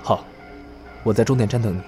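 A man plays a composed young player in a horror radio drama. His low voice is calm, serious and measured, with faint music underneath. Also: lightly acted. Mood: concerned. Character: composed, attentive young male game player. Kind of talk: audio drama acting.

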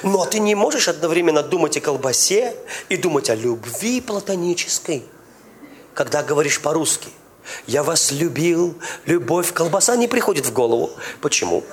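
Pastor, male, high voice, animated preaching, quizzes the congregation. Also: slight background noise. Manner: lively preaching with questions to the congregation; speaks in tongues. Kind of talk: sermon.

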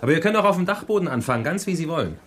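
An actor playing an anxious, loyal aide in a radio play, talking fast about trouble outside. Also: male voice, a little high, expressive, fairly bright tone, slightly rough. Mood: worried. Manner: anxious, talkative. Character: worried, loyal male aide. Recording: crowd murmur behind.

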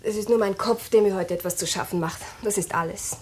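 A woman's voice: mid-range voice, brisk, darkish tone, close to an everyday voice. An actress playing a wife who has found out her husband's secret, speaking coolly, with pointed irony. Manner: cool, ironic, pointed. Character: suspicious wife, cool and cutting. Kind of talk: radio play acting.

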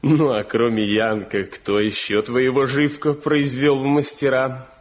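A man's voice: medium pitch, steady tone, unhurried, slight background noise, close to an everyday voice. Actor as proud, bitter young craftsman, muffled recording. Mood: mocking. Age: young adult. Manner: rhetorical, insistent, sardonic. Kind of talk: Soviet radio drama acting. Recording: dull 1970 radio play recording.

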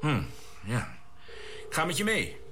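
Over clearly noisy ambience, a decisive spaceship commander from a science fiction radio serial. His delivery is brisk and commanding, and his pitch moves around a great deal as he gives orders. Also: big pitch swings. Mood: calm, businesslike. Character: composed male spaceship commander, decisive, urgent in crisis.

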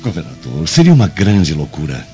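A Brazilian man acting a cautious, smooth-talking Roman henchman in a radio drama, deferential and theatrical. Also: low voice, huge pitch swings, moderate pace, warm tone, exaggerated voice. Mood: deferential, wary. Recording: muffled lo-fi audio, clear music underneath.

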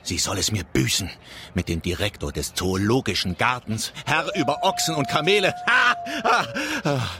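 An actor playing a vengeful, bumbling betrayed husband in a mock-tragic radio play, theatrically plotting to himself. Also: male voice, medium pitch, sing-song, moderate pace, background noise. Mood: wronged, vengeful. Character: vengeful, bumbling cuckolded husband, melodramatic and scheming.

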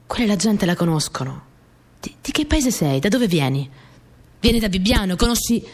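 An actress playing a spirited young wartime partisan courier girl in an expressive radio theatre monologue. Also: medium pitch, moderate pace. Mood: earnest, confiding. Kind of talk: dramatic first-person monologue for radio theatre.

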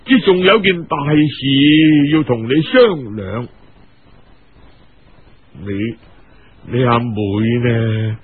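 A man voicing a kindly, emotional old uncle in Cantonese storytelling, sighing, halting and exclaiming as he speaks. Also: medium pitch, lively intonation, narrow, tinny audio, pitched below the performer's own voice. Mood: sorrowful, moved.

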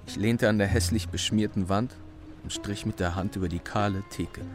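Actor as low-voiced, haunted young man confessing. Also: steady tone, normal speed, faint music behind, natural voice. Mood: numb, subdued. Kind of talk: radio drama monologue, recounting in past tense.

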